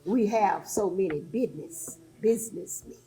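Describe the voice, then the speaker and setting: A woman playing an earnest, teasing church preacher of the civil-rights era in a staged sermon, halting and pointed in delivery. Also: mid-range voice, animated, over music, lightly acted, low-quality recording. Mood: mocking, earnest. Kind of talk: staged readers theatre sermon.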